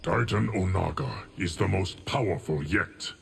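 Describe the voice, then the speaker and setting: An actor voicing a solemn, stoic, ageless guardian of time in a video game, formal and measured with a gravelly voice. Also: male voice, sits low, background noise, full character voice.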